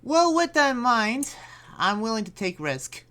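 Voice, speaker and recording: A man's voice: extremely high pitch, sing-song, measured pace, sharp tone, clean tone, exaggerated voice. A man playing an earnest, cautious young demon slayer in an improvised anime game roleplay, talking in a hesitant, rambling way. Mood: earnest, thoughtful. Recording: music playing behind voice.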